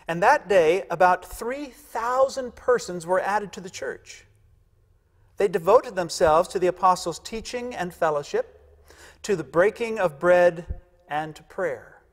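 A pastor in his fifties delivers his sermon with a notably high voice. He takes his time, stressing and repeating key lines as he gestures. His lapel mic catches the long reverb of the church sanctuary around him. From United States. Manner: emphatic, gesturing preacher, repeats key phrases. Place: large church sanctuary, indoors.

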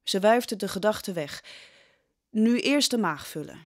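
A woman with a medium-pitched voice narrates a historical tale. Her delivery is scripted, dramatic storytelling for an audio drama. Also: lively intonation, a little slow.

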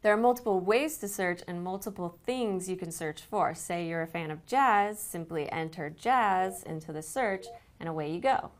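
Female company presenter, lower register, scripted demonstration read. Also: normal speed, few pauses. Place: indoor living-room set, seated on a sofa.

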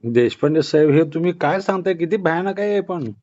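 A man's voice: fairly high pitch, animated, fairly quick, a little mellow, lo-fi sound. A man in a casual phone conversation about politics, questioning and interjecting in disbelief, recorded off the call. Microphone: telephone.

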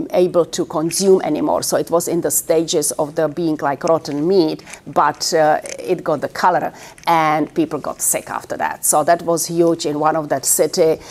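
A middle-aged woman from the Czech Republic with a Czech accent, giving a university classroom lecture in a halting way with many fillers. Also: deep voice, darkish tone. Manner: halting, many fillers, gestures while talking.

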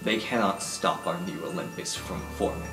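A man's voice: low-pitched, echoey room, over music, close to an everyday voice. A man voicing a condescending older villain doctor, formal and menacing, in an improvised superhero roleplay. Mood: menacing calm. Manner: formal, slow, measured.

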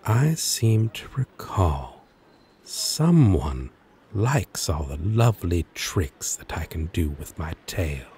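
Man acting a very slow, deep demon lover. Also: sing-song, darkish tone, slight background noise, heavily acted. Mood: teasing, flirtatious. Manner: slow, teasing, chuckling drawl. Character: flirtatious, possessive male demon lover, besotted and needy. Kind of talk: intimate ASMR roleplay.

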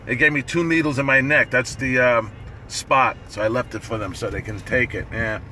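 A middle-aged man with a raspy voice, chatting casually and profanely on a livestream from a parked car, phone held at arm's length. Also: mid-range voice, steady tone, moderate pace, bright, forceful tone, a little hiss or hum. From New York. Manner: loose, profane, chatty, laughing often. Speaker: livestream host.

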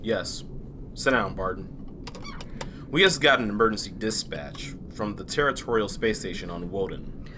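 A man's voice: lowish voice, normal speed, noisy background, close to an everyday voice. A man playing a clipped, businesslike space transport commander in an audio drama. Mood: businesslike, serious.

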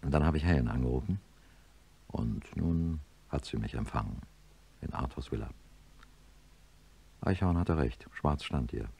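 An actor playing a principled, educated neurosurgeon in a German radio play, emphatic and argumentative. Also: male voice, rumbling low, a little slow, vocal fry, long pauses, a little mellow, slightly rough, heavily acted. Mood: resigned. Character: defiant male neurosurgeon professor, indignant, principled, also narrating wearily. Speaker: lead character and narrator.

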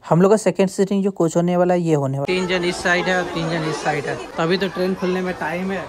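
A chatty male travel vlogger in his thirties talks into a phone held at arm's length, explaining his train trip as he goes and slipping English words into his talk. A loud crowd and the rumble of trains sit right behind his voice. The sound is raw phone audio with heavy background noise. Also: fairly even intonation, quick pace. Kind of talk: casual travel vlog narration. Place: busy railway platforms and inside a train.

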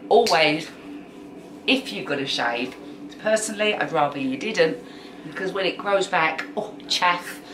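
A woman's voice: lowish voice, animated, measured pace, reverberant, noisy background. A middle-aged woman with a London accent, chatting bluntly and dryly as a guest on her daughter's vlog in a small bedroom. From London, England. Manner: blunt, dry and joking. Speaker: YouTuber's mother, guest. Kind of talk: casual chat.

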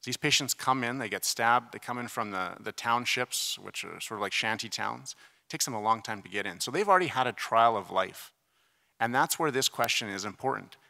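A man's voice: medium pitch, expressive, fairly quick, creaky, bright, forceful tone. A middle-aged man presenting at a conference podium, explaining in a conversational, emphatic way with casual asides. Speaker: surgeon giving conference debate presentation. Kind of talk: conference lecture with slides. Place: large conference hall, at a podium. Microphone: podium microphone. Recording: reverberant conference hall PA.

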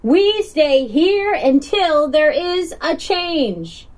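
A woman's voice: high voice, sing-song, drawn-out words, darkish tone, voice pushed up, heavily acted. A woman voicing a small, earnest, brave young female turtle in a picture book. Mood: determined.

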